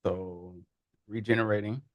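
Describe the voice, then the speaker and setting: A man in a casual conversation, demonstrating software in an animated, amused way and laughing often. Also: lowish voice, a little slow. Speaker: guest, tech founder. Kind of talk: casual demo talk.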